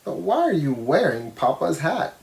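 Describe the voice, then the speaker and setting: A man plays a caring storybook mother who questions her son gently. The delivery is animated, with wide swings of pitch. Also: moderate pace, creaky, low-quality recording. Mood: puzzled.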